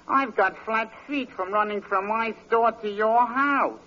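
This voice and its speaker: A peevish, dryly sarcastic druggist in a vintage radio comedy. His voice is high and sharp, full of complaint. The old recording is dull and hissy. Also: measured pace. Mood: exasperated. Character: fed-up, dry, peevish neighborhood druggist. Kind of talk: 1940s radio comedy acting.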